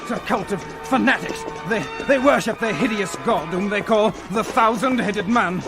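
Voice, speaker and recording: An actor playing an agitated captive professor in a radio adventure serial, urgent and stammering. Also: male voice, sits high, moderate pace, music in the background. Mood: anxious, explaining. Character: frightened male professor, rescued captive expedition leader. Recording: old radio drama recording.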